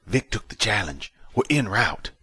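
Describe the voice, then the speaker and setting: A man's voice: on the low side, big pitch swings, normal speed, bright, forceful tone, slightly rough, no room sound, voice pushed up, heavily acted. A man voicing an anxious male spider spy in an audiobook, muttering tense reassurances to himself. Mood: guarded. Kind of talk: audiobook character dialogue.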